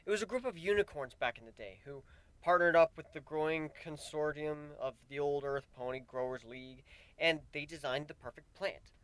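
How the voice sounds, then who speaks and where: A man's voice: on the high side, moderate pace, fairly bright tone, clean tone, dry recording, voice pushed up, lightly acted, poor audio. A man voicing a weary, wry male pegasus who grows plants, in a dramatic fan fiction reading. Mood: earnest explaining.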